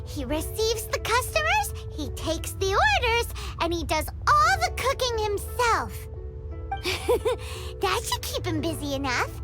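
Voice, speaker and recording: A squeaky, bubbly little fairy. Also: female voice, slow, exaggerated voice. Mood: storytelling. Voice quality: squeaky, bubbly, refers to herself by name. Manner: animated, exclamatory storytelling. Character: tiny chirpy fairy companion, childlike and excitable. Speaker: game character. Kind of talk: game cutscene voice acting. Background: game score music.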